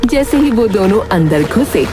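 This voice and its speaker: A woman's voice: medium pitch, very animated, unhurried. A woman reading scripted storybook narration for a cartoon tale. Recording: music behind the voice.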